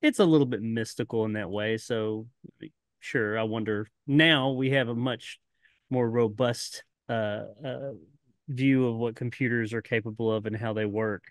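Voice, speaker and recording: A man's voice: medium pitch, steady tone, a little slow, no room sound, clean studio sound. A man co-hosting a podcast in casual chat, rambling and laughing often, swearing casually. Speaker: podcast co-host.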